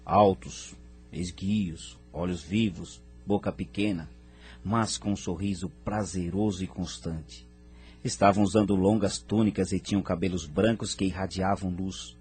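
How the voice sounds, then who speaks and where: A man playing a wise, calm spirit guide in an audio drama, husky and measured as he recounts history. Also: sits low, soft background music. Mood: grave, calm. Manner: measured, grave.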